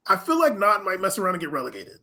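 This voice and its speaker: A man with a clearly high, bright voice. He speaks as a podcast guest in loose, casual sports talk, with a forward, sharp tone. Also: huge pitch swings, fast.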